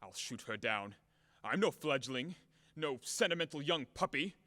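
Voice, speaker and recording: An actor playing a brash, hot-tempered, blunt creditor in an audio play of a classic farce, with a blustering, theatrical, emphatic manner. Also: male voice, mid-range voice, animated, moderate pace, pushed and bright, no room sound, long reverb tail. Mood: outraged, blustering.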